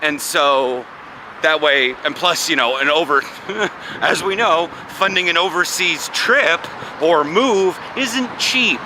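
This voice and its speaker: A man's voice: fairly high pitch, expressive, takes it slowly. Chatty man vlogging over street noise. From United States. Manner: chatty, rambling, laughs often. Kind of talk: casual vlog chat. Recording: clear traffic noise outdoors.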